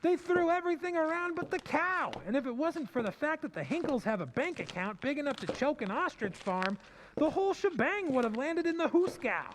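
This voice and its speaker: A man's voice: extremely high pitch, expressive, a little fast. An actor playing a cynical, slangy young man griping to his fiancée in an old-time radio drama, over some noise. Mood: wry, exaggerating. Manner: slangy, sardonic, exaggerating.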